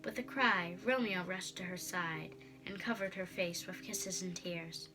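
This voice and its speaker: A woman's voice: fairly low pitch, fairly even intonation, a little slow, music in the background, low-quality recording. A female storyteller reading a storybook aloud in a dramatic narrating style.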